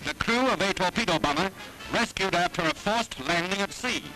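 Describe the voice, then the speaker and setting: A man's voice: high voice, moderate pace, sharp tone, rough recording. An American man narrates a 1940s newsreel in a raised, declamatory voice. His delivery is dramatic and scripted, with music playing behind him on an archival recording.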